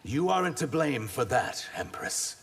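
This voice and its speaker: A man voicing an earnest, bitter reptilian warrior in video game fight banter, with a raspy, gravelly voice. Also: medium pitch, big pitch swings, a little slow, a bit bright, exaggerated voice. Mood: respectful, earnest.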